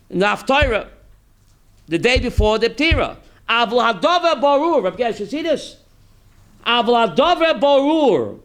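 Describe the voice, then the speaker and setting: High, bright-voiced rabbi quizzing his class. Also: clean tone. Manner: reads Hebrew text then translates, quizzing listeners; animated, emphatic rhetorical questions. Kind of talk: Torah class sermon.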